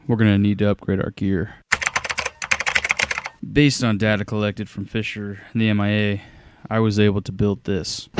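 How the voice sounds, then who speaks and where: An actor playing a determined, clever inventor hero in an audio drama, explaining plans in an earnest, matter-of-fact way. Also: male voice, fairly low pitch, noisy background, lightly acted. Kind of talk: scripted audio drama acting.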